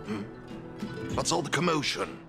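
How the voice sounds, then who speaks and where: An actor playing a flamboyant masked gentleman in a video game, formal, courteous and theatrical, like a showman. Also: mid-range voice, big pitch swings, moderate pace. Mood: gracious. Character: flamboyant masked gentleman, smooth event sponsor and gaming enthusiast. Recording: background music throughout.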